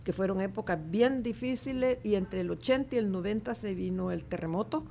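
A woman's voice: medium pitch, brisk, vocal fry, warm tone, a little hiss or hum. A woman in her seventies from El Salvador with a Salvadoran accent, a guest chatting reflectively in a studio interview. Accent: Salvadoran Spanish. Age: 70s. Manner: reflective, chatty, laughs easily. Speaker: guest artist. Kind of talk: casual interview chat.

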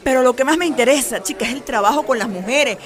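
Spirited, high-voiced Venezuelan woman activist played by an actress. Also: quick pace, lightly acted. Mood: determined. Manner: emphatic, wry, colloquial. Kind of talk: radio drama acting.

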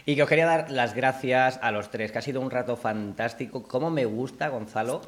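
A man hosts a relaxed talk show, jovial and teasing as he thanks his guests. His voice sits at a middling pitch for a man. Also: fairly quick. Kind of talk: casual chat, wrapping up the show.

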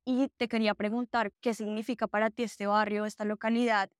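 A woman's voice: mid-range voice, little pitch movement, fairly quick, clean tone, no room sound, studio quality. A woman hosting a casual interview, encouraging her guest with open questions. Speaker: interviewer host.

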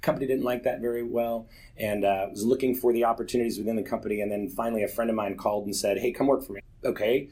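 A man's voice: on the low side, fairly even intonation, fast talker, dark tone. A man in his forties giving an earnest, candid personal testimony to a church group, telling stories with self-deprecating humor. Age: 40s.

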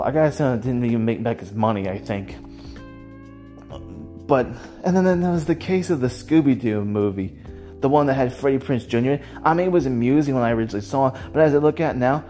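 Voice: mid-range voice, dark tone. A person giving casual, rambling solo commentary as a host, with a hesitant way of talking that circles back over phrases.

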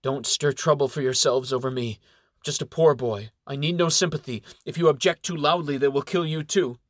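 A lanky teenage monk who speaks in a calm, resigned way and intones prayer steadily, played by a male narrator close to his own voice. The sound is dry and close. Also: mid-range voice, moderate pace, no room sound, natural voice.

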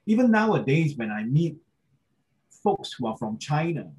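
Interviewee: man, a touch high-pitched, reflective, ends points with "right?". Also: big pitch swings, moderate pace, a little mellow, lo-fi sound. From Malaysia. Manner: thoughtful, often adds "right?". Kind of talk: casual interview.

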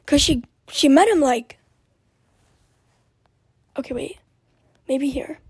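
A young woman hosting a podcast with a raspy voice, chatting in a scattered, rambling way and often dropping into whispered asides. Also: high voice, slow pace, no room sound, clean studio sound. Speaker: podcast host. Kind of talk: casual rambling chat.

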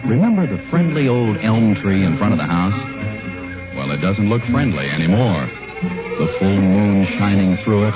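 Folksy radio storyteller, low lively voice, theatrical, playfully spooky, muffled 1940s recording with music. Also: male voice. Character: folksy male storyteller setting a spooky Halloween scene. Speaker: announcer-narrator of a radio comedy. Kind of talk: 1940s radio scripted narration.

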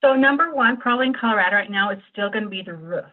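An American woman speaks through thin, phone-like audio at a middling pitch. She explains things as an insurance agent would to a client, informally and agreeably, in a chatty conversational flow. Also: very fast.

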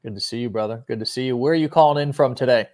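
A man hosting a casual online interview show, upbeat and energetic, often restarting and repeating words. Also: mid-range voice, very fast. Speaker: host.

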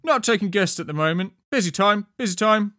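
A man voicing a gruff, stubborn fantasy city guard in an audiobook, blunt and repetitive. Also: sits high, expressive, brisk, pushed and bright, dry recording, clean studio sound, pitched above the performer's own voice. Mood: stubborn, dismissive.

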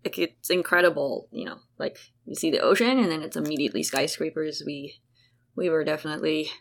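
A woman's voice: low voice, a little fast, gravelly. A young woman from South Africa, guest host of a casual chat, talking in a rambling way with many fillers and restarts. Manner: chatty, rambling, full of "like" and restarts. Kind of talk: casual conversation between sisters.

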